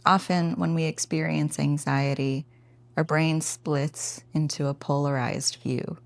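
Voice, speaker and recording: A woman teaching a guided meditation in a gentle, informal, encouraging way. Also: low voice, steady tone, unhurried, vocal fry, dry recording.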